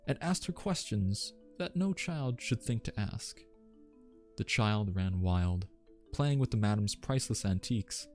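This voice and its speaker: A man playing a genteel, devoted butler, telling of household staff in a measured, formal, gentle ASMR audiobook manner. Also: fairly low pitch. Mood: wistful, quietly indignant.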